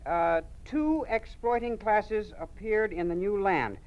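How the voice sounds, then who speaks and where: A performer playing a nervous young male pupil reciting haltingly in an old-time radio drama. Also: medium pitch, a little mellow, lightly acted. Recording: muffled vintage radio recording.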